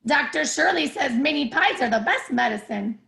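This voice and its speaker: A woman's voice: high voice, animated, normal speed, vocal fry, bright, forceful tone, full character voice. A woman reading the part of a motherly, cheerful woman in a sitcom table read. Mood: sweet, concerned. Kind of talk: amateur table read acting.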